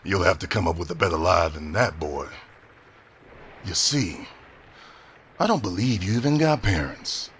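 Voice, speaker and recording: A man plays a burly, swaggering seafarer with a very deep voice. He taunts and teases with lively swings of tone, half threat and half amusement. Faint ambience runs behind this audio drama scene. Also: slow pace, pauses often, a little hiss or hum, exaggerated voice. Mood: mocking, menacing. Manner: teasing, intimidating. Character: broad, swaggering, mocking male pirate sailor.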